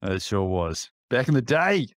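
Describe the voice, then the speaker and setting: A man's voice: medium pitch, big pitch swings, a little slow, no echo, studio quality. A man in his fifties with a New Zealand accent, a podcast guest talking reflectively over a video call from an office, often restarting his phrases. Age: 50s. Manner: reflective, with light laughs and frequent restarts. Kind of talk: casual interview chat. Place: indoor office. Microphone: headset on a video call.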